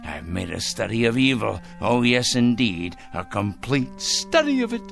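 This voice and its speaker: Fussy, excitable fanatical crank. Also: male voice, mid-range voice, moderate pace, vocal fry, faint music behind. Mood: proud, zealous. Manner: fussy, excitable, repeating himself emphatically. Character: fanatical, self-righteous male crank obsessed with punishing evil. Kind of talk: radio drama acting.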